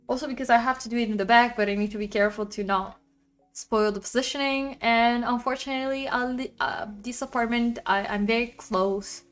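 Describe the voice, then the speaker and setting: A woman with a loud voice chatting casually on a livestream from her apartment, rambling and venting about her neighbors. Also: medium pitch. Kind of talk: casual chat.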